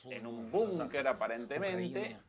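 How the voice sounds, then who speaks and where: A man hosting live political talk, heard quietly in the background of the recording. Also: mid-range voice, lively intonation, normal speed.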